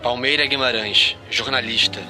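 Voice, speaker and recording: Grave Brazilian radio-drama announcer, medium pitch, very forceful tone, clipped roll-call, faint music. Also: male voice, fairly even intonation, normal speed. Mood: grave, official. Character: grave official announcer reading out dismissed names. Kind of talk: formal announcer reading a roll of names.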